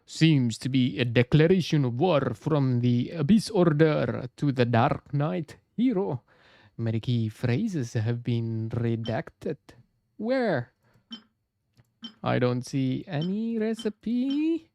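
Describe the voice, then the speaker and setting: A man's voice: mid-range voice, expressive, slow, vocal fry, dark tone, no echo, clean studio sound. A man with an Indonesian accent chatting casually on a live game stream, switching languages and muttering to himself. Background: game music.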